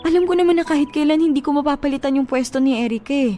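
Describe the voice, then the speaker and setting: A woman's voice: sits high, fast, lightly acted. An actress playing an earnest, devoted but insecure girlfriend in a Filipino radio drama. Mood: hurt, pleading. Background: soft background music.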